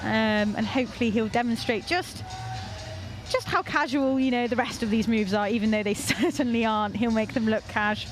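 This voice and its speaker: A woman's voice: fairly high pitch, normal speed, faint music behind, rough recording. A young woman from North Wales, commentating a climbing competition live in a chatty, encouraging way.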